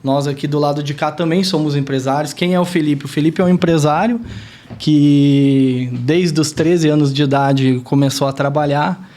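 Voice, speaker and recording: A man, a business guest on a podcast, introducing himself in an earnest, measured, somewhat formal way. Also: mid-range voice, dark tone. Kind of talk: self-introduction in podcast interview.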